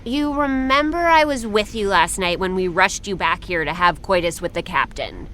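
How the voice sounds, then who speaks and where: A woman's voice: medium pitch, animated, moderate pace, a bit bright, a little hiss or hum, lightly acted. A woman playing an awkward, earnest ship's first mate, stiffly polite and blunt, in an audio drama comedy.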